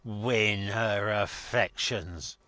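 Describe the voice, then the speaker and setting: A man acting a blustering, scornful older gentleman. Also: mid-range voice, expressive, a little slow, no echo, pitched above the performer's own voice. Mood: ranting, mocking. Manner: emphatic, mocking, exclamatory. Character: blustering, scornful older rich man berating his secretary.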